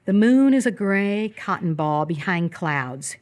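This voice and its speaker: A woman's voice: fairly low pitch, expressive, a little slow. A woman narrating scripted stage action for a live radio theatre piece.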